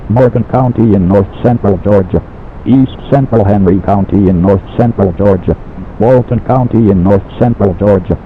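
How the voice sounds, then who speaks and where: A deep-voiced man reads an emergency weather bulletin in a formal, mechanical manner. His delivery is clipped, like an announcer working through a list. The sound is narrow and phone-like, with faint noise. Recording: muffled phone-like radio broadcast with noise.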